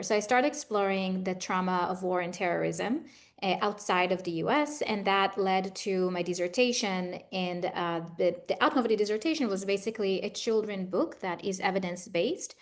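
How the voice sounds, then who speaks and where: A middle-aged woman with an Israeli accent speaks as an interview guest at a medium pitch. She explains and advises conversationally, in the manner of a practised therapist. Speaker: guest expert, trauma therapist.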